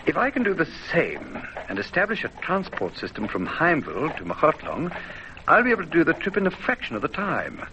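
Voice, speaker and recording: An actor playing a stubborn, dreamy young ex-soldier, earnest and insistent, in old radio drama style. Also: male voice, medium pitch. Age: young adult. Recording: muffled, faintly noisy old radio.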